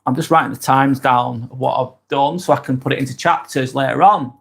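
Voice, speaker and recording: A man's voice: medium pitch, brisk, creaky, a bit bright, slightly rough. Lancashire man livestreaming, rambling and chatty, mid-range voice, lots of ums and restarts. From East Lancashire, England. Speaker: livestream vlogger. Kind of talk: casual livestream chat, reading out viewer comments.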